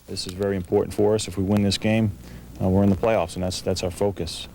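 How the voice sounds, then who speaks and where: Deep, dark-voiced, fast-talking man. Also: level tone, gravelly, slight background noise. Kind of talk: off-the-cuff press interview.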